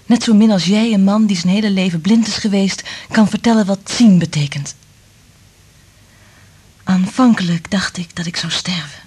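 An actress playing a sensitive, anxious woman in love in a radio play, speaking in a hushed, intimate, half-whispered voice. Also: mid-range voice, normal speed, breathy edge, natural voice. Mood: fearful, anguished. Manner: hushed, intimate, careful phrasing. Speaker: radio drama actor. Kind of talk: Dutch radio play acting.

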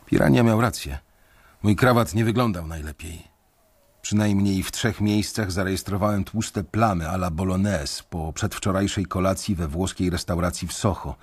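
A young bank analyst. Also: male voice, sits low, breathy edge, exaggerated voice. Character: young male bank analyst narrating his story. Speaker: narrator. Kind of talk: radio drama narration.

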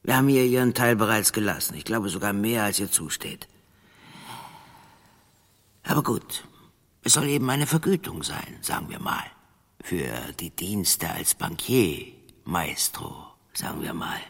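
A smug gangster boss in a radio crime drama. His voice is husky and full of creak, with a chummy, hesitant way of threatening. It rings in a long reverb. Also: on the low side, lively intonation, measured pace, a bit bright, exaggerated voice. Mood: oily, chummy. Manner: oily, hesitant, chummy phrasing with veiled threats. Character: smug, menacing male gangster boss nicknamed the Rat. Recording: long reverberant hall sound.

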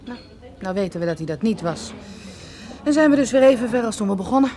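An actress playing a detective's sceptical, weary female companion in a radio drama, wry and gently chiding. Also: fairly high pitch, lively intonation, fast talker, close to an everyday voice.

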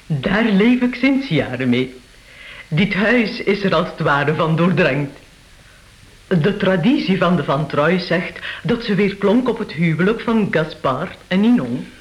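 A woman's voice: on the low side, expressive, measured pace. An actress playing a charming yet unsettling seventy-five-year-old castle lady in a radio play, theatrical and coquettish. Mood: mysterious, serene. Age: older. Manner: theatrical, coquettish hostess, refers to herself in third person. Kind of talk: Dutch-language radio play acting. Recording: dull, muffled radio play recording.

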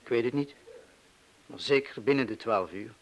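Radio play: male actor as wavering middle-aged crook, halting, fearful, guilty, mid-range pitch. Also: lightly acted, poor audio. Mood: grim, subdued. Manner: hesitant, halting, confessional.

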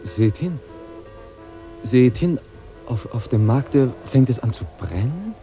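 An actor playing a dutiful, attentive young squire in a radio drama, speaking formally and deferentially. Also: male voice, medium pitch, animated, slow pace, dark tone, lo-fi sound, faint music behind. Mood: alarmed. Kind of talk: mid-century German radio drama acting.

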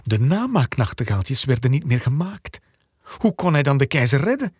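A man narrating a scripted fairy tale as a storyteller, with a husky, breathy voice. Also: mid-range voice, sing-song, moderate pace, a little mellow, dry recording. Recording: dry, close, faint music behind.

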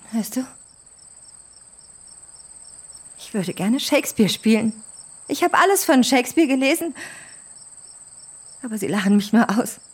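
An actress in a radio drama playing a fragile, lonely young film star with a breathy, halting, pleading way of speaking. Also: female voice, fairly high pitch, a little mellow. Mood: wistful, hurt.

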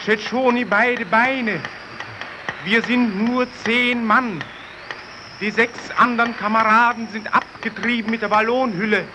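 High-pitched, desperate stranded radio operator. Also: male voice, fairly even intonation, unhurried. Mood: urgent. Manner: urgent, chanting, repetitive calling. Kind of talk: German radio play acting, slow distress-call declamation. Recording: noisy old radio drama recording.

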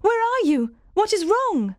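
A woman plays an earnest, troubled young woman in a storybook drama. She speaks plainly but with feeling, in a mellow voice with a dry, close sound. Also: mid-range voice, very animated, moderate pace, no room sound. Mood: urgent, crying out.

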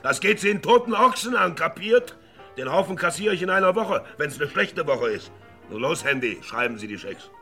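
An actor playing a gruff, irritable, boastful man, a farmer turned oil millionaire, blunt and curt in a vintage German crime radio play. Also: a little high, sing-song, moderate pace, sharp tone, faint music behind, full character voice. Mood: angry, contemptuous.

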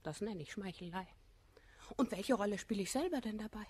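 A woman's voice: medium pitch, animated, a little fast, a little mellow, close to an everyday voice. An actress playing a self-assured, coquettish society lady, poised and imperious, speaking rhymed verse in a classical radio comedy. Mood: offended.

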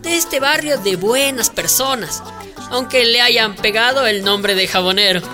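An actress playing an upbeat community radio presenter, welcoming and announcing in a radio drama. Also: female voice, on the high side, measured pace, lightly acted. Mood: upbeat presenting. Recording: music behind the voice.

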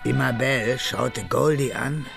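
A man delivers scripted narration for a radio crime play. His mid-pitched voice has a strong gravelly creak. Music plays behind him. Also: fairly even intonation, takes it slowly.

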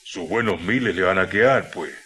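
A man's voice: deep voice, moderate pace, a bit bright, husky. An actor playing a good-natured, burly Chilean miner who teases his workmate in folksy, colloquial speech in a radio drama. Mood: teasing.

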